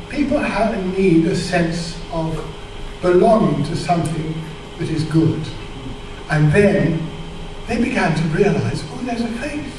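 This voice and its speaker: An elderly man speaks to a church gathering in an informal, explanatory way, with some hesitation. His voice sits quite high for a man. The recording is very echoey, with clear background noise. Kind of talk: informal church talk with slides. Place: large church hall with audience, indoors. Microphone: microphone on a stand. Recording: echoey hall, distant mic, noisy.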